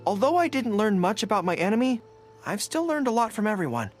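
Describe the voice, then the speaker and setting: A woman voicing an earnest, excitable young male dog-eared general in a video game, stammering when flustered. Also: mid-range voice, brisk, natural voice. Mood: earnest, determined. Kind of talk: video game character dialogue. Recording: game music behind the voice.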